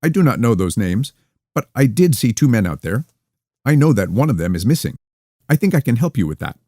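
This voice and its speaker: A man voicing a calm, mysterious young woman who speaks formally and rhythmically. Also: mid-range voice, no echo, clean studio sound, heavily acted. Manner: formal, rhythmic, unhurried delivery.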